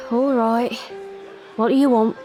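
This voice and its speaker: A weary barmaid with a working-class English accent. Her voice sits fairly high and she speaks slowly. She is wry, guarded and no-nonsense in this audio drama. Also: a little mellow. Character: weary, sharp-tongued young barmaid among criminals.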